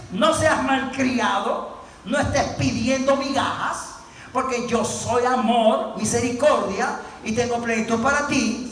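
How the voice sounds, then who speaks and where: A man with a very high voice delivers an impassioned sermon, urging and emphatic. He drives points home with repetition. The sound is heavily echoed and picked up from a distance. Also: a bit bright. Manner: exhortative, emphatic, repeats words and phrases for effect. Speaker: preacher. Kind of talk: impassioned Spanish-language sermon. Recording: very echoey room, distant mic, faint noise.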